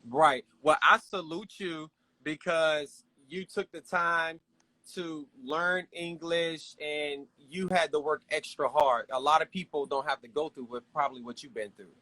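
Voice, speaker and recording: A male interview host speaks in a slightly high voice, close and dry with no room sound. He keeps things friendly and hyped, dropping casual slang between questions. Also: steady tone, measured pace, bright, forceful tone, no echo, poor audio. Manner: friendly, hype, uses slang.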